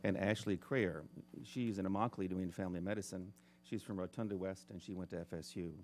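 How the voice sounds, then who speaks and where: A man speaking at a public board meeting, introducing medical students in a measured, plain way. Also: deep voice, fairly even intonation, a little mellow. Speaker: medical school program official.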